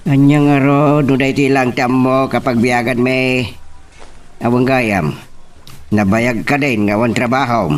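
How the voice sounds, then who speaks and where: A man's voice: medium pitch, steady tone, measured pace, recorded from a distance, close to an everyday voice. A man playing a weary rural father and rice farmer in an Ilocano audio drama. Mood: weary, matter-of-fact.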